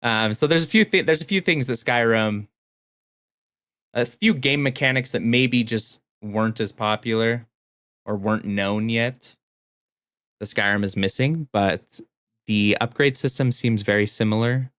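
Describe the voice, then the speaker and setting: A man's voice: medium pitch, a little slow, fairly bright tone, no echo. A man chatting casually and enthusiastically about video games as a podcast co-host.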